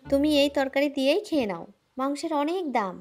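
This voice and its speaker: Woman as a fast-talking poor mother. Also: high-pitched, animated, darkish tone. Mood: weary. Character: poor struggling mother, later greedy. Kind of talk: homemade cartoon voice acting. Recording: music playing behind.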